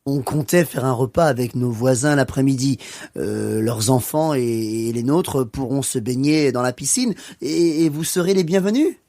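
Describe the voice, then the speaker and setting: A man's voice: medium pitch, normal speed, vocal fry, warm tone, lightly acted. A man playing a friendly, welcoming French husband and father in an educational radio play. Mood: friendly, hospitable.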